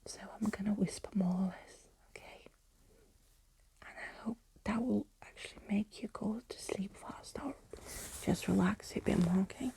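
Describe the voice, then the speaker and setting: A woman reading a storybook for ASMR in a soft, hushed, soothing bedtime manner. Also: medium pitch, normal speed, poor audio. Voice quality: soft, breathy.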